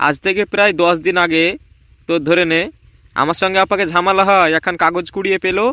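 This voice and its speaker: A man's voice: high-pitched, little pitch movement, fast talker, sharp tone, dry recording. A man voicing a chiding village schoolmaster in a comedy cartoon. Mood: chiding, explaining.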